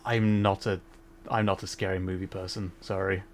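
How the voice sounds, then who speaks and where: Relaxed man chatting over gameplay. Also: sits low, moderate pace. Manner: relaxed, rambling, chuckles often. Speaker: VTuber streamer. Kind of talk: casual gameplay commentary.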